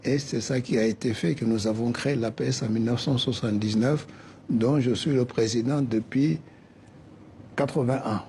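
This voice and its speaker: An older man in his seventies, a native Soninke speaker, talks as an interview guest with a measured, earnest delivery. His voice is mid-pitched and warm. A faint hum of ambience sits behind him. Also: fairly even intonation. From West Africa. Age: 70s. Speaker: association president, guest. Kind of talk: TV interview answers.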